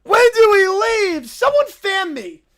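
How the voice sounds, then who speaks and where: A woman's voice: extremely high pitch, big pitch swings, slow pace, voice pushed up, exaggerated voice. A woman voicing bratty children who whine and complain. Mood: whiny. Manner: whining.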